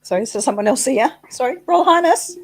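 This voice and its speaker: A woman, co-hosting a casual live chat in a soft, slightly husky voice, close to the mic and often giggling and teasing. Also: high-pitched, expressive, fast talker, dry recording. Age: 60s. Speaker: co-host.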